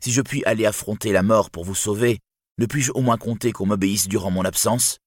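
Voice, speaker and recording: A man acts out a proud warrior hero, wounded yet commanding. The voice is husky and dryly recorded, the delivery declamatory and indignant, as in a dramatic fantasy storybook. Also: lowish voice, fairly quick, dry recording, clean studio sound, pitched above the performer's own voice, close to an everyday voice. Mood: indignant, demanding.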